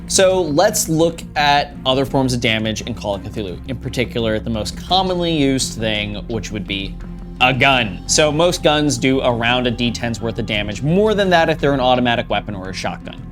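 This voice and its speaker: A man's voice: medium pitch, a bit bright. A man hosting an explainer commentary, talking informally and with emphasis. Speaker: host of a tabletop game advice channel. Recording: faint guitar music behind the voice. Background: faint plucked guitar music.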